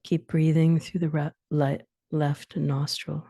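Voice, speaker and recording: Slow, low-pitched woman guiding sleep meditation. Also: level tone, gravelly, warm tone, no room sound, clean studio sound. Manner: soothing, instructional, short phrases with long pauses. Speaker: yoga and meditation guide.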